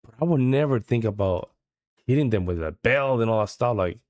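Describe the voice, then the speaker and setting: A man's voice: mid-range voice, lively intonation, fairly quick, darkish tone, dry recording. A man in a mid range comments casually on footage, mixing slang with disbelief. His voice is close and dry, with no room sound. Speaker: reaction video host.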